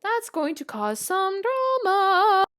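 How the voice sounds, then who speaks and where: A woman reading a story aloud and breaking off for casual, giggly, animated reactions of her own. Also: extremely high pitch, slow pace, dry recording, clean studio sound. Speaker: video creator reading and reacting to fan fiction.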